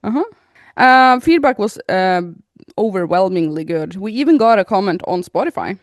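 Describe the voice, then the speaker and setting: A woman's voice: mid-range voice, lively intonation, normal speed, dry recording, clean studio sound. A woman in her thirties co-hosting a podcast, chatting casually and reading out listener comments with frequent laughter. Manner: giggly, reads comments aloud with frequent laughter. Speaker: podcast co-host.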